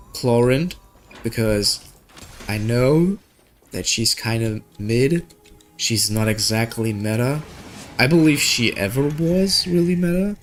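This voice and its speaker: A man's voice: mid-range voice, slight background noise. A man talking casually as a game streamer, thinking aloud in informal, slangy language. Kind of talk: casual gaming stream chat.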